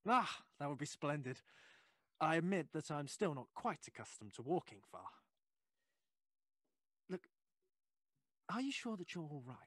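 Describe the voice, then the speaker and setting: A nervously chatty, inquisitive Victorian gentleman in an audio play. His voice swings up and down in a dry, close recording, full of polite hesitations and old-fashioned courtesy. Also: a little high, sing-song, measured pace, pauses often, no echo, exaggerated voice. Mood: polite, uneasy. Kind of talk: audio drama acting.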